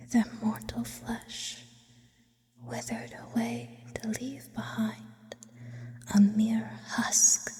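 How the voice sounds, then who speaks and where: An ancient, wistful demon played by a woman whispers in an eerie, intimate ASMR roleplay. The delivery is very slow and deliberate, with formal, theatrical phrasing. The breathy female voice sits a little high and hangs in a long reverb. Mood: wistful, solemn. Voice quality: breathy, mostly unvoiced whisper. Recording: long reverberant hall effect.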